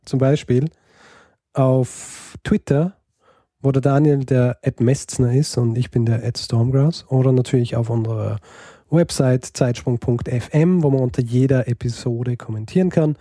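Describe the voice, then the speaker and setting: A man's voice: medium pitch, moderate pace, mellow, no echo, studio quality. A man co-hosting a casual podcast chat, talking hesitantly with many fillers and restarts. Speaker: podcast co-host.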